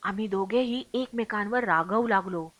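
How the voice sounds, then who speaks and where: A woman's voice: mid-range voice, normal speed, fairly bright tone, dry recording. A woman reading a travel memoir aloud as scripted audiobook narration. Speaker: audiobook reader.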